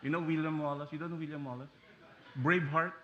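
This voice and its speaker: A man in his forties from the Philippines with a Filipino accent, preaching a sermon as a guest pastor in a church, animated and joking with frequent fillers and restarts. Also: on the high side, quick pace, muffled recording, slight background noise. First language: Taglish. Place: church sanctuary.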